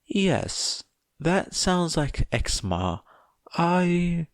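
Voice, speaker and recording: Story character: sweetly dangerous mother, raised pitch, courteous and fussy, performed by a male narrator. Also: very animated, drawn-out words, no room sound, studio quality, exaggerated voice. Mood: sweetly probing. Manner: polite, motherly, fussing. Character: sweetly menacing middle-aged mother, dangerously unhinged.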